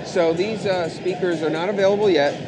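A man's voice: sits high, steady tone, a little fast, low-quality recording. A man in his thirties presenting products informally as a company representative, in an explanatory, matter-of-fact way. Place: large indoor trade show hall, at a booth. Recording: background music and trade show floor bustle.

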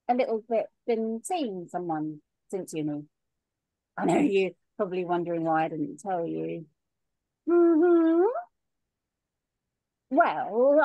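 A woman's voice: on the high side, a little mellow, no room sound, highs cut off. A woman reading a giggly, nervous young daughter. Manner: hesitant, giggly. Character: young woman telling her posh parents she is engaged. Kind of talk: script read-through on a video call. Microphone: video call.

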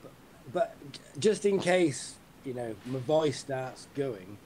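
A man with a British accent, worn out and losing his voice, chatting haltingly on a video call and often clearing his throat. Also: medium pitch, expressive, darkish tone, slight background noise, low-quality recording. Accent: British English. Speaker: keynote speaker. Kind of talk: casual pre-talk chat on a video call.

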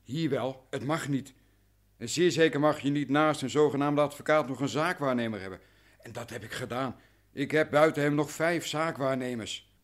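An actor playing a cautious, worn-down merchant in a radio drama, explaining things formally and carefully. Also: male voice, medium pitch, moderate pace, lightly acted. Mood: weary, explanatory. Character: cautious, anxious, worn-down male grain merchant.

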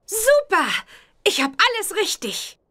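A woman voicing a cheerful, confident young witch girl in a children's cartoon game, lively and exclamatory. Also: very high-pitched, slow pace, a little hiss or hum, full character voice. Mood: triumphant.

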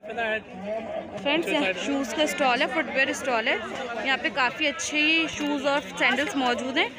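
A woman with a Pakistani Urdu accent walks through a packed outdoor market filming on her phone. Her voice sits high and bright as she chats to her viewers. A dense crowd and passing traffic surround her. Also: moderate pace. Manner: chatty, asks sellers prices. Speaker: vlogger. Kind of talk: market tour vlog. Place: busy outdoor street market. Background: crowd chatter and traffic.

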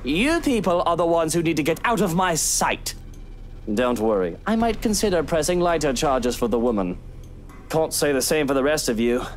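A woman's voice: low voice, lively intonation, slight background noise, pitched below the performer's own voice. Woman doing a smug, theatrical villainous rich man. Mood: sneering, threatening. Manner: sneering, theatrical, drawn-out taunting. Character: smug, menacing rich man, contemptuous of intruders.

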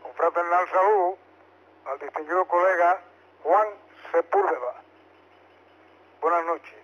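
A man's voice: high voice, a little slow. A man with a Puerto Rican accent giving a formal greeting as an amateur operator over two-way radio. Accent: Puerto Rican Spanish. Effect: two-way radio transmission. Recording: muffled, noisy two-way radio transmission.

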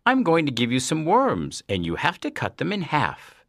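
A man's voice: a little high, huge pitch swings, moderate pace, fairly bright tone, dry recording, studio quality, exaggerated voice. A man playing a smiling science teacher in a dramatized audiobook, with a teasing, instructive and faintly menacing manner. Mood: friendly, instructive.

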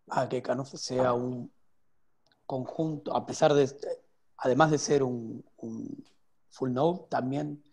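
Gravelly-voiced man on a video call. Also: mid-range voice, warm tone. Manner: hesitant, explains at length with many restarts. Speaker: guest developer. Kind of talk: casual video call interview. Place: home room with window. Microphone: earphone headset on video call.

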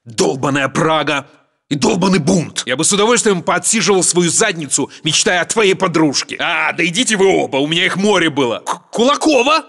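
An actor playing a cocky, crude Russian soldier in video game battle chatter, talking in a mocking, emphatic way. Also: male voice, a little high, lively intonation, fairly quick, vocal fry, a bit bright, heavily acted.

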